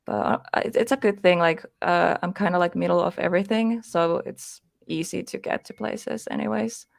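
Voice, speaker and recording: A young woman from Finland talks in English with a clear Finnish accent. Her voice sits low with a gravelly edge, and the sound is close and dry. She chats casually and hesitantly, filling gaps with likes and ums. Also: normal speed, dry recording. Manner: hesitant, many fillers, frequent laughs. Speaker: guest. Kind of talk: casual interview chat.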